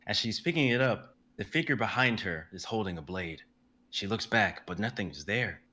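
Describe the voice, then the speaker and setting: A young man narrating the stage directions of a dramatic reading in a steady, descriptive way. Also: fairly low pitch, normal speed. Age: young adult.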